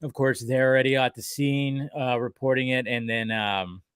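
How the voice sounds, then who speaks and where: A man co-hosting a casual chat, animatedly recapping a cartoon episode with quick acted-out quotes and casual swearing. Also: mid-range voice, fairly even intonation, a bit bright, clear, resonant tone, dry recording, clean studio sound. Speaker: podcast co-host.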